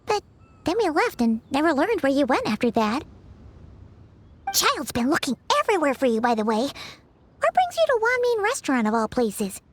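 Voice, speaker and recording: A woman voicing an excitable, chatty little fairy companion in a game who refers to herself in the third person. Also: high voice, normal speed. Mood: startled. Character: tiny floating female fairy companion, chirpy. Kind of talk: game dialogue. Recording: game audio with music behind.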